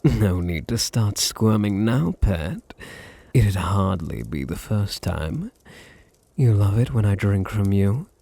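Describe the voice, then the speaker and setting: Deep, slow British vampire master, voiced by a man. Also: animated, warm tone, exaggerated voice. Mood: possessive, menacing. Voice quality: deep, breathy, husky. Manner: languid, condescending, purring endearments. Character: male vampire master, possessive, silky, menacing, teasing. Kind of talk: intimate ASMR roleplay.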